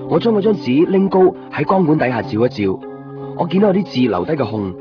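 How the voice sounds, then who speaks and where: A man's voice: on the high side, big pitch swings, fast talker. An actor playing an earnest, anxious young man in a Cantonese radio drama. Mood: quiet, intent. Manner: earnest, pleading. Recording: muffled 1990s radio recording with music behind.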